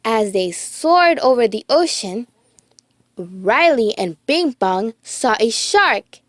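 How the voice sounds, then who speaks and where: A woman reading a children's story aloud in an animated, deliberate storytelling manner. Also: on the high side, no echo, clean studio sound. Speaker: children's book reader. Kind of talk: expressive read-aloud storytelling for young children.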